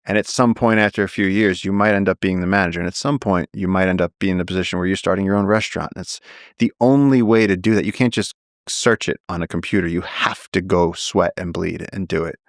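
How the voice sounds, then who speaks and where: Earnest male guest, low voice, animated storytelling, dry close mic. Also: fast, no room sound. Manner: earnest, animated, many restarts. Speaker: guest, naturalist and explorer. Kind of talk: conversational storytelling.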